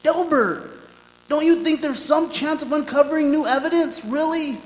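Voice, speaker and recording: Condemned prisoner played by a man, very high voice, anguished and halting; radio drama in a reverberant hall with music. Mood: anxious, pleading. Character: condemned man protesting innocence, frightened, pleading, brooding. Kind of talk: stage radio drama acting, with dramatic monologue. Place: performing arts center stage. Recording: echoey hall, live stage performance, music behind.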